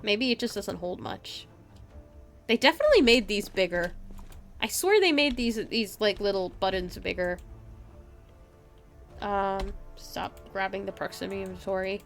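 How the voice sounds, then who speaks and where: A woman's voice: medium pitch, normal speed, pauses often, soft background music. A woman giving casual live gameplay commentary in a playful way, talking to herself and her chat. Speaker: game streamer, host.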